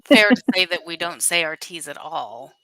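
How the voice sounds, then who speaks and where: A woman's voice: lowish voice, lively intonation, moderate pace, a crowd in the background. A woman from California hosting a casual chat, explaining things at length with laughs along the way. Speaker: podcast host.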